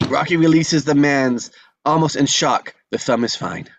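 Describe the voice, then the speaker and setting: A man's voice: on the high side, normal speed, lo-fi sound, slight background noise. A man reading out stage directions as narrator in a scripted table read.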